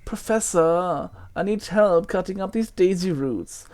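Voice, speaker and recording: Woman as a haughty schoolboy bully, low-voiced. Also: lively intonation, measured pace, a little mellow. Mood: sly, taunting. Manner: taunting, haughty, theatrical delivery. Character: spoiled, sneering, self-pitying schoolboy bully.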